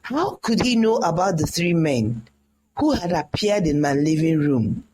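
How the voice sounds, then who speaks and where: A storyteller reading a scripted first-person tale in a dramatic, deliberate way. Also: mid-range voice, lively intonation, a little mellow, slight background noise, low-quality recording.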